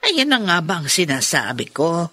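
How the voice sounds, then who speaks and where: A sympathetic, fretting friend in a radio drama. Her bright, animated voice is full of fussy exclamations. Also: on the low side, normal speed, husky, heavily acted. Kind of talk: Filipino radio drama acting.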